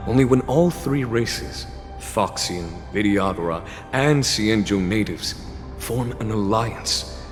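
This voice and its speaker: Casting: grave, composed game general, low-pitched, formal and measured; long reverb with music behind. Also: male voice, expressive. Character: composed, grave, philosophical male general of a fantasy alliance. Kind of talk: video game cutscene voice acting. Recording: reverberant hall under clear music.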